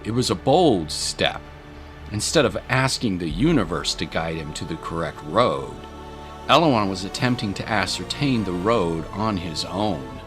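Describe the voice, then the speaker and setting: A man's voice: low voice, animated, measured pace. A man narrating a dramatic scripted story. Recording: music and rain sounds behind.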